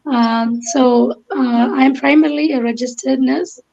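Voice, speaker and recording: Woman, slightly high, gravelly, hesitant with fillers, muffled call audio. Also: steady tone, unhurried, a little mellow. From Kerala, India. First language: Malayalam. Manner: hesitant, many ums and uhs. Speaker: guest. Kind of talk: online panel interview.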